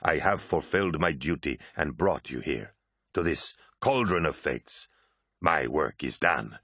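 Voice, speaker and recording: A man voicing a disdainful, fanatical warrior prophet in an audiobook, with a harsh rasp and a grave, zealous, declamatory delivery. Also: extremely low pitch, sing-song, unhurried, sharp tone, dry recording, clean studio sound, exaggerated voice. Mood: resolved.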